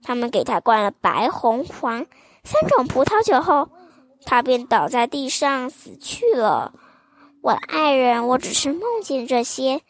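A young woman reading a fairy tale aloud to children in a gentle, sing-song, child-directed manner. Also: high voice, big pitch swings, a little slow, dry recording. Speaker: children's storyteller host.

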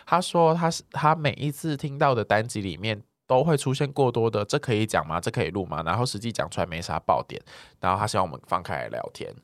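A talkative, expressive man co-hosting a podcast, chatting casually as he replies to listener comments. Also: on the low side, fast, no room sound, studio quality. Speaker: podcast co-host.

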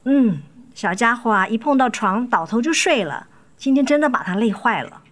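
Betrayed wife and mother for radio drama: fairly high voice, tired but unbending, reproachful. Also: animated, moderate pace, fairly bright tone. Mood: weary, calm. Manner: firm, reproachful. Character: weary, resolute wife and mother confronting her cheating husband. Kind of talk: Mandarin radio drama acting. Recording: studio radio drama with music underneath.

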